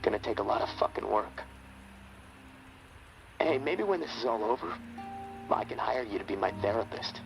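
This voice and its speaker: An actor playing a guilt-haunted, weary American soldier in a video game, halting and foul-mouthed as he confides. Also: lowish voice, expressive, creaky, music in the background, rough recording. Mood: weary, resigned. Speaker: survivor confiding in a friend over radio. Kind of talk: dramatic video game acting.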